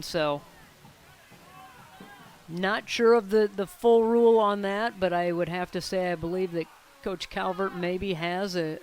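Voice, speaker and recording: A woman doing live sports commentary in a tentative way, thinking aloud as she goes. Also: on the low side. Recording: live sports broadcast with music behind.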